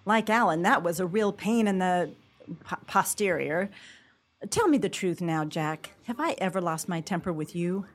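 A woman's voice: lowish voice, animated, moderate pace. An actress playing an emotional, earnest woman talking with a close friend in a radio play. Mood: reflective, amused. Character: woman friend, emotional, sports-loving, turning sweetheart.

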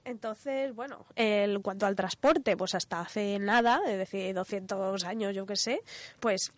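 A woman's voice: medium pitch, unhurried, dry recording. A woman with an accent from Spain co-hosting a radio show, explaining things casually and colloquially with frequent filler tags. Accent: Spanish from Spain. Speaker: radio show co-host and economist.

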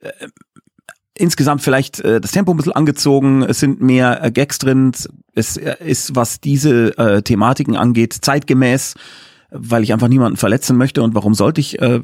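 A man's voice: medium pitch, normal speed, a little mellow, no echo, clean studio sound. A man speaking as a guest director in a casual interview, explaining things in chatty, enthusiastic detail. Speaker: guest, audio drama director.

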